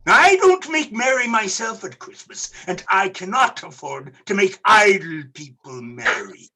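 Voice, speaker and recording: Grumpy miserly old man for radio play, raspy, sharp and bright, swoopy theatrical delivery. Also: high-pitched, sing-song, a little slow, full character voice. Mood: curt, angry. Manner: curt, scornful, emphatic delivery. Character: bitter, miserly old businessman, scornful uncle.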